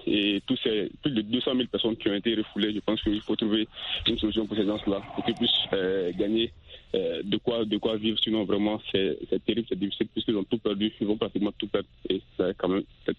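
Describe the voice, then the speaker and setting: A man calling a radio show, giving his opinion in halting, repetitive phrases. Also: on the low side, husky. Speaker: radio phone-in caller. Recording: tinny dry phone line.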